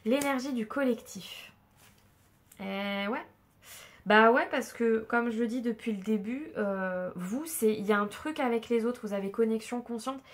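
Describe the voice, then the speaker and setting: A woman's voice: on the high side, a little slow. Stuffy-nosed woman reading tarot. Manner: hesitant, many fillers and restarts. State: stuffy nose from a cold. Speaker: tarot card reader. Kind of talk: casual spoken tarot reading to viewers.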